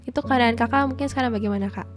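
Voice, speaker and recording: A woman's voice: a little high, racing, soft background music. A woman hosting a casual interview in an informal, polite manner. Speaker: interviewer, host.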